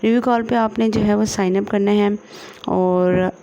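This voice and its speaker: A woman with a Pakistani accent presenting an informal screen-share tutorial, halting often and leaning on repeated filler phrases. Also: medium pitch, fairly even intonation, dark tone, dull audio.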